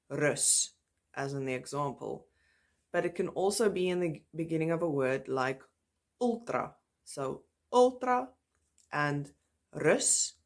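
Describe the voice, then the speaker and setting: Slow low-voiced woman. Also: gravelly. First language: Afrikaans. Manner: slow, careful, spells out letters and sample words one by one. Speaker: language teacher. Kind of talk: alphabet pronunciation lesson.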